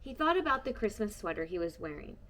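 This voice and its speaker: A woman reading a children's storybook aloud, expressive and shifting between hushed and bright tones. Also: medium pitch, fast. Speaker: storybook reader. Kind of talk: children's book read-aloud.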